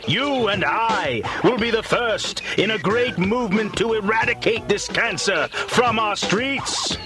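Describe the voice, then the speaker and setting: A man narrating in a mock-solemn documentary style, like a grave orator, with pompous and deliberate delivery. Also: high-pitched. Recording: music behind the voice. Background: music.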